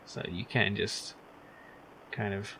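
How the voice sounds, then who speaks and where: A man's voice: fairly low pitch, steady tone, slow, sharp tone, a little hiss or hum, low-quality recording. A man giving casual let's play commentary, thinking aloud in a wry, musing way. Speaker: video game streamer.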